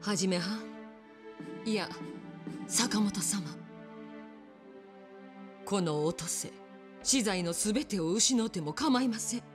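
A woman's voice: mid-range voice, music in the background, lightly acted. A woman acting a genteel, steely Kyoto innkeeper. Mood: earnest pleading. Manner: genteel, polite, deliberate. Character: poised, determined Kyoto innkeeper woman, polite but steely. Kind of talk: period drama game cutscene acting.

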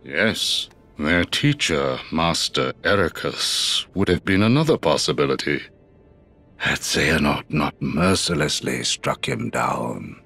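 A stern old wizard master for a fantasy game. The voice is very low and husky, with solemn, deliberate delivery. It moves expressively at a slow pace. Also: male voice, expressive, bright, forceful tone, soft background music, full character voice. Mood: grave. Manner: grave, formal, deliberate. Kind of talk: animated video game acting.

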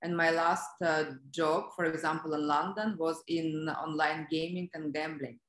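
Low-voiced Russian woman, echoey room. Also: fairly even intonation, normal speed, fairly bright tone. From Russia (Siberia). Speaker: interview guest, business development professional. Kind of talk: conversational interview, telling career story. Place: indoor room on a video call. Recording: roomy, echoey video call.

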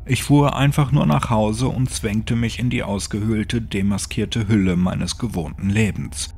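A man narrating a horror audiobook in a grave, measured, dramatic scripted style. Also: on the low side, fairly even intonation, a little mellow, breathy edge. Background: music.

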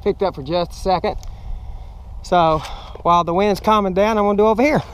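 A man's voice: sits high, a little fast. A middle-aged American man explaining his work in a casual, plainspoken way as he demonstrates it to camera. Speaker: roof cleaning contractor. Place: outdoors on a ladder at a roofline. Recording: outdoor action camera, wind and machinery noise.

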